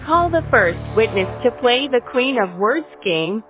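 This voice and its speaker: Woman as a stern queen in a cartoon game, highish voice, commanding, music clearly behind. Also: sing-song, unhurried, dull audio. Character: stern queen announcing rules, accusing and commanding. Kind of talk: animated game cutscene.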